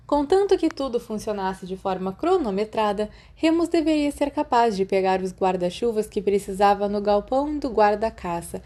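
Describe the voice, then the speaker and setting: A woman's voice: mid-range voice, warm tone. A woman with a Brazilian accent reading a scripted story as an audiobook narrator. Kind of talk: scripted fan fiction narration.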